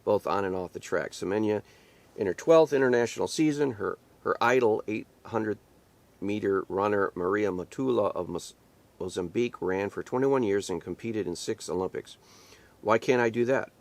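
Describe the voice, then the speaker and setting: A man's voice: lowish voice, moderate pace, gravelly. A pastor hosting his own show, reading an article aloud and cutting in with emphatic rhetorical questions and frequent restarts. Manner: reads quoted passages, then breaks off to argue back in emphatic rhetorical questions; repeats himself and restarts sentences.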